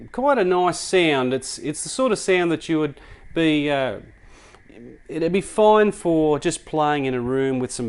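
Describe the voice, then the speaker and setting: A man's voice: mid-range voice, smooth. A man giving a casual product demonstration, explaining things step by step in a conversational way. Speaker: product reviewer.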